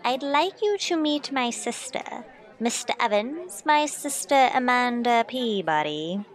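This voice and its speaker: A woman's voice: a little high, lively intonation, measured pace. A woman voicing a pushy, gushing matchmaking society matron in an old-time radio play. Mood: socially eager.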